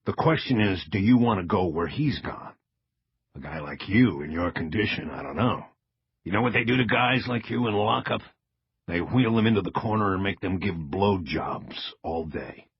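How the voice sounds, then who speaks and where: A man's voice: low voice, normal speed, breathy edge, dry recording, lo-fi sound, full character voice. A man playing a menacing federal agent in an audiobook, taunting and threatening in a hard-edged way.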